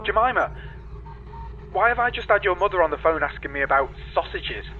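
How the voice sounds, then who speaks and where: A man's voice: high voice, expressive, fairly quick, faint music behind. A man playing an anxious, needy boyfriend asking probing questions over a call in a comedy audio drama. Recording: dull call link, faint noise.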